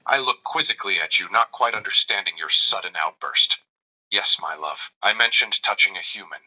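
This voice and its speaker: Brief: synthetic male voice for a flirty AI companion; deep, very bright timbre, gentle and formal, narrating his own actions. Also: lively intonation, moderate pace, full character voice, low-quality recording. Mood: tender. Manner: narrates his own gestures in the first person, calls the listener darling. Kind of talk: chatbot roleplay reply.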